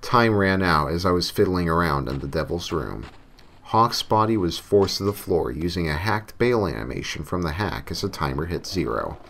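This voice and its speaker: A man's voice: deep voice, expressive, moderate pace, fairly bright tone, a little hiss or hum. A man reading a scripted first-person creepypasta story as its narrator. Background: music.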